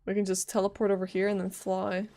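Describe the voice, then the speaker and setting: Playful woman streamer, mid-pitched. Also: monotone, fast, mellow. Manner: playful, joking, muttering to self. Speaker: game livestreamer. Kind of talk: casual live game commentary and reading dialogue aloud.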